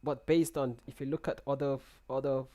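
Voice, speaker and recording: A young man talks casually through a headset on a live trading stream. He answers chat messages as they come in, often restarting and repeating words. His voice sits at a middle pitch for a man. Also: level tone, moderate pace, mellow. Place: small room indoors.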